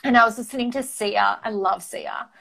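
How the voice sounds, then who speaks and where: A woman in her forties with an Australian accent talks in a fairly high voice, loud, fiery and passionate. Greek was her first language, and she comes across as animated and expressive. She fills her pauses with frequent "um"s as she chats as an interview guest. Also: creaky. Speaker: guest, campaign marketer. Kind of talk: casual interview chat.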